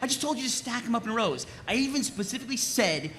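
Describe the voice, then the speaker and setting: A man playing a short-tempered, scolding soldier in charge, in a comedy table read of an animated series. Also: very high-pitched, quick pace, a little hiss or hum. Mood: exasperated.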